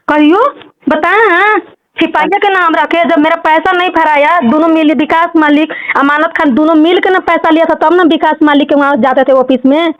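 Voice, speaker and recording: A woman with a Jharkhand Bhojpuri-influenced Hindi accent, arguing insistently and repeating her points in a heated phone conversation. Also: high voice. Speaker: caller in a personal dispute. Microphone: phone call. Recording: dull lo-fi recorded phone call.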